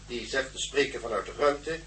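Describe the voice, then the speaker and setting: An actor playing a formal, grave radio newsreader in a radio play, delivering scripted lines in a measured, official way. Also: male voice, mid-range voice, a loud crowd, natural voice.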